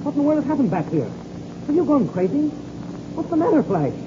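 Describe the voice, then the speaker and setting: An actor playing an excitable, urgent airplane pilot in a 1930s radio adventure serial. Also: male voice, very high voice, fast. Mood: alarmed. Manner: urgent, exclamatory. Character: excitable adult male airplane pilot, alarmed, loyal. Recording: muffled, noisy old radio recording.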